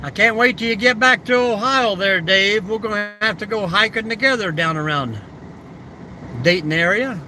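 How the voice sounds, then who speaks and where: A man's voice: high voice, moderate pace, noisy background. An older man hosting a casual live stream, answering viewer comments in an easygoing, folksy way and reminiscing about the old days.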